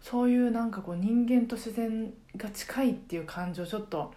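A middle-aged woman chatting casually on a solo podcast, reflective and halting as she searches for words. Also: on the high side, warm tone. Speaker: podcast host and karaoke teacher.

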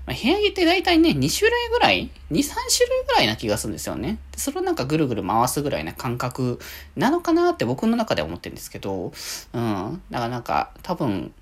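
A man's voice: on the high side, wildly swooping pitch, fast, hardly any pauses. A man hosting a casual solo radio talk, chatting in a rambling, conversational way.

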